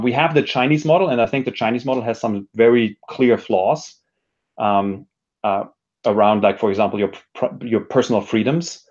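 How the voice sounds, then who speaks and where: A man's voice: lowish voice, darkish tone, muffled recording. A man giving thoughtful interview answers, reflective and hesitant, often restarting his sentences as he works through an idea. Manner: reflective, hesitant, frequent self-restarts and hedging. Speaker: interview guest, technology educator and speaker.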